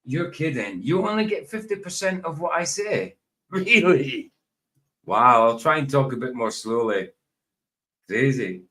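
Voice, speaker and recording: A man's voice: mid-range voice, big pitch swings, unhurried, pushed and bright. A middle-aged Scottish man chatting on a live stream, repeating himself and drifting into tangents as he talks over a video. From Scotland.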